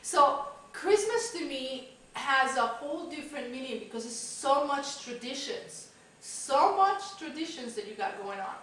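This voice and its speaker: A woman's voice: high voice, expressive, unhurried, a bit bright, a little hiss or hum. A woman chatting in an upbeat way to camera as a cooking show host. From Mexico. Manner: upbeat, chatty, gesturing as she talks. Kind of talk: casual chatty presenting to camera. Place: home kitchen, indoors. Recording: very echoey room, distant camera mic.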